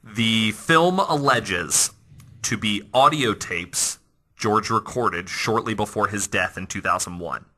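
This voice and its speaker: Bright, forceful low-voiced man, podcast host. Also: slow. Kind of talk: casual storytelling podcast talk.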